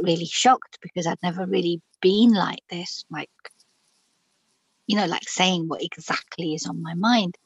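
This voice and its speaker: A middle-aged woman from Pakistan tells her own story as an interview guest. Her voice sits low and husky, and the recording is dry and close. She speaks frankly and reflectively, with plenty of fillers and false starts. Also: no echo, poor audio.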